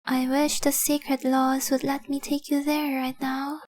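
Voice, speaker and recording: High, slow-spoken tender dragon girl played by a woman. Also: level tone. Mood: devoted, hopeful. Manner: soft, earnest, adoring, often dropping to breathy whispers. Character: tender, devoted young female dragon-blooded fiancée. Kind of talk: intimate romantic audio roleplay.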